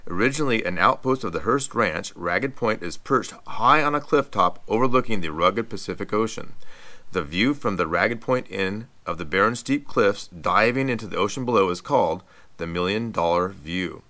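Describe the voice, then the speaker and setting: A middle-aged man reading scripted travel narration in an even, informative guide manner, as a voice-over. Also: on the low side, moderate pace. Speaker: travel vlog co-host. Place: voice-over, not recorded on location.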